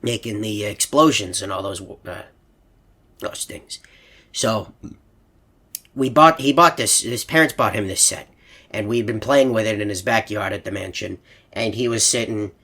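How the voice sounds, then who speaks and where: A husky old hippo storyteller from a video game. He rambles earnestly and sweetly, stammering and hesitating as he wanders through his reminiscences. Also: fairly low pitch, a little slow, pauses often, bright, forceful tone, full character voice. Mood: wistful, rambling. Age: older.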